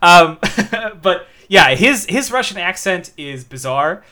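A man's voice: high-pitched, very animated, takes it slowly, bright, forceful tone. A young man co-hosting a podcast, chatting casually about a film, restarting sentences and piling up "like"s as he thinks aloud. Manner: rambling, restarts sentences, many "like"s. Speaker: podcast co-host. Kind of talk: casual movie review chat.

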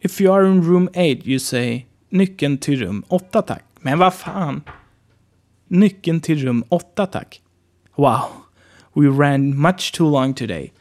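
Man, language teacher, casual and chatty, slow repetitions, slightly higher voice. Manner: relaxed, chatty, repeats phrases slowly. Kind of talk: casual spoken lesson.

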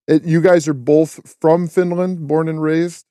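A male podcast host with a dark, mellow voice talks at length and gives blunt opinions. His sound is dry and close. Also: fairly high pitch, level tone, a little slow, no room sound. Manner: opinionated, talkative. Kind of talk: casual podcast chat.

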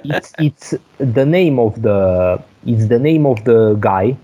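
A man's voice: medium pitch, animated, takes it slowly, dark tone, lo-fi sound. A man in a casual chat, hesitant and full of fillers as he searches for words. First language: Hungarian. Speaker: guest.

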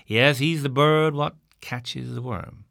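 Man putting on a gravelly folksy innkeeper, older, teasing, rustic drawl, dry close sound. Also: medium pitch, fairly even intonation, slow, fairly bright tone, no echo, pitched above the performer's own voice. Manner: rustic drawl with dropped words.

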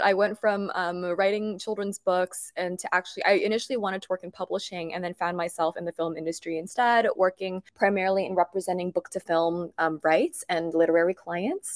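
Low-voiced woman, chatty interview guest. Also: no room sound, studio quality. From Thailand, grew up in Bangkok. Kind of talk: conversational interview, personal storytelling.

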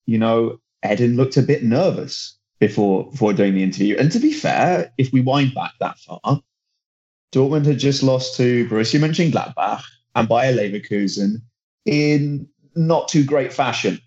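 A man's voice: medium pitch, animated, moderate pace, darkish tone, highs cut off. A man working as a football reporter, chatting casually on a podcast, enthusiastic and rambling, often restarting his sentences. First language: not German; German is his second language.

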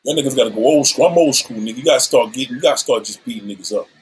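A man's voice: medium pitch, rapid-fire, a little hiss or hum. A man in his seventies ranting on a livestream, combative and profane, issuing threats and calling out rivals. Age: 70s.

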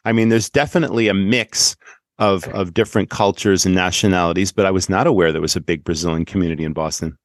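A man's voice: deep voice, fairly even intonation, fast talker, dry recording, studio quality. A man hosting an interview, enthusiastic, asking long follow-up questions. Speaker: podcast host. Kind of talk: casual interview.

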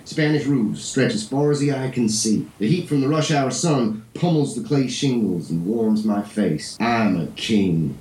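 An actor playing a bitter, nihilistic drug dealer turned prisoner, telling his story in a brooding, deliberate radio-play monologue. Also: medium pitch, expressive, darkish tone, lightly acted. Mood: grandiose calm. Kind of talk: dramatic monologue in a 1940s-style radio play. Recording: crackling old-radio fuzz, roomy echo.

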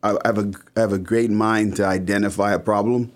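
A man in his forties from the Bahamas, an entrepreneur guest, talking fast and stumbling over repeated words. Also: lowish voice, steady tone. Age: 40s. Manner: talkative, repeats words as he starts. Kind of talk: casual interview chat.

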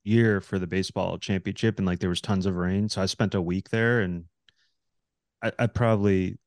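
A male podcast co-host asking interview questions in a loose, halting way, often repeating his words as he goes. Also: sits low, steady tone, no room sound, studio quality.

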